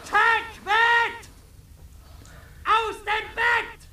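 An actor in a radio play voicing a rebel leader, shouting drawn-out commands in the night. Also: extremely high pitch, flat delivery, far from the mic, full character voice. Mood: commanding, menacing.

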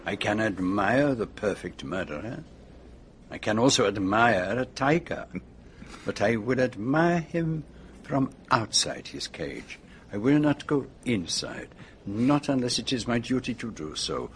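An actor playing a shrewd, courteous foreign gentleman detective in a radio drama, speaking formally with precise, dry wit. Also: fairly low pitch, sing-song, unhurried, a little hiss or hum. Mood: grave, measured. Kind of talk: radio drama dialogue and narration.

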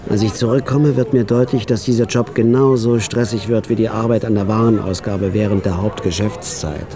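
A man's voice: lowish voice, fairly even intonation, a little fast, crowd noise, close to an everyday voice. A man playing a wry hitman narrator. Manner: dry, understated first-person narration. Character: nervous, wry hitman narrating his story. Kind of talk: radio drama narration.

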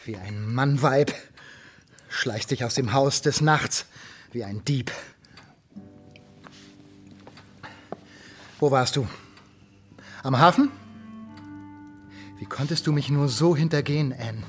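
A man's voice: mid-range voice, measured pace, pauses often, soft background music, lightly acted. An actor playing a stern, controlling merchant father, middle-aged, proud and commanding, in a German radio drama. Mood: outraged, hurt.

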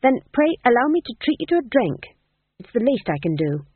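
A timid young jester, acted by a narrator, earnest and nervously polite, his pitch bobbing up and down. He sounds weary but grateful, in a close, dry storybook reading. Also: expressive, fairly quick, breathy edge, no echo, phone-quality sound.

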